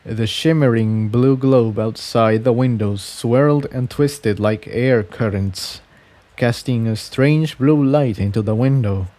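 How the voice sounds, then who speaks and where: A man's voice: mid-range voice, slow, warm tone. A man reading fan fiction aloud as scripted story narration.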